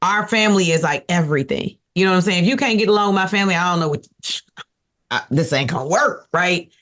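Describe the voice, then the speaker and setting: A woman with a raspy voice, talking casually and playfully about her husband on a podcast. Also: fairly low pitch, fast. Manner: animated, playful, teasing. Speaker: podcast guest, wife. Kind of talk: casual chat.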